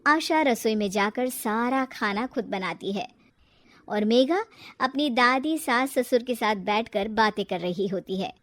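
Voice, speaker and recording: A woman's voice: on the high side, lively intonation, a little slow, fairly bright tone. A woman narrating a scripted moral story.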